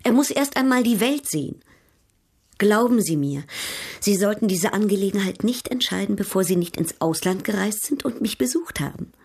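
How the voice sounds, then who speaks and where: Husky, worldly older baroness. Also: female voice, mid-range voice, animated, normal speed, a little mellow. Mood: coquettish, persuasive. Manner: condescending, coquettish, polished. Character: worldly, teasing baroness, an older woman of society. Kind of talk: German radio drama acting.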